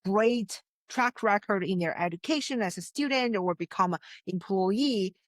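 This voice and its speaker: A woman's voice: medium pitch, expressive, moderate pace, dry recording, studio quality. A woman from China, a success coach, telling her story with earnest emphasis and frequent restarts. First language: Chinese. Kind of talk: interview conversation.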